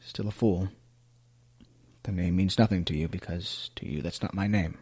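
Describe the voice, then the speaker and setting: A man's voice: sits low, normal speed, a little mellow, reverberant, close to an everyday voice. A man playing an anguished, lovesick court clown in a radio play, with a theatrical, poetic, brooding delivery. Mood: wistful, defeated.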